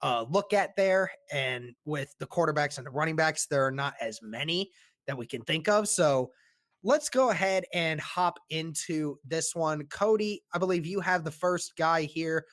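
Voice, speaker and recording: A man co-hosting a casual sports podcast, talking with a sore, strained throat and a relaxed, rambling delivery full of fillers. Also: a little high, moderate pace. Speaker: podcast co-host.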